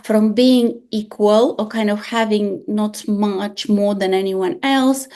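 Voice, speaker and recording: Animated woman host. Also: medium pitch, slow. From Bulgaria. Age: 40s. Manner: expressive, animated storyteller with frequent restarts. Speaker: podcast host. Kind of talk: casual podcast conversation.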